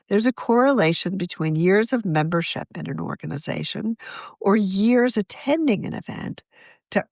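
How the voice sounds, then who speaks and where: Woman, scripted article narration, articulate, animated intonation, mid pitch, dry sound. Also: no echo. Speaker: magazine editor reading own article.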